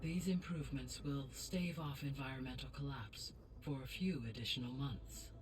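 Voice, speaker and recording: A woman voicing a calm, courteous artificial intelligence guide in a video game, speaking formally and precisely. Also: rumbling low, normal speed, distant mic. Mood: measured. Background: game music.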